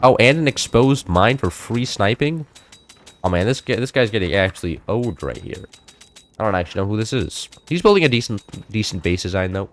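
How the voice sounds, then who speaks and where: A young man in his late teens chatting loosely while playing a game, rambling with many fillers and restarts, his voice a little high for a man. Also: sing-song, fairly quick. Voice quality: slightly high-pitched for a man. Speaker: gameplay streamer. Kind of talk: casual chat while playing.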